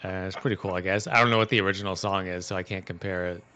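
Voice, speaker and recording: A young man co-hosting a podcast with a monotone delivery in casual chat. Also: sits low, rapid-fire, crowd noise. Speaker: podcast co-host.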